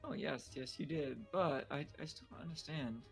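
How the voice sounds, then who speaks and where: Guy doing a polite young game sidekick, bit high and creaky, earnest, faint music. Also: normal speed. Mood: puzzled. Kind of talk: fan dub of a video game.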